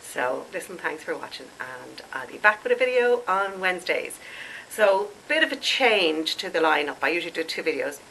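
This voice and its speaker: A woman's voice: lowish voice, big pitch swings, normal speed, sharp tone, a little hiss or hum, low-quality recording. A woman in her late forties, congested and stuffed up, chatting and rambling to camera as a skincare vlogger and laughing at herself. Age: late 40s. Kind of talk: casual chat to camera.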